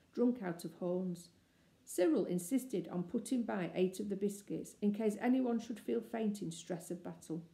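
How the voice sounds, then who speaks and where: A woman reading a children's story aloud as scripted narration, expressive and measured. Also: mid-range voice, vocal fry. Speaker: storybook reader. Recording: echoey room.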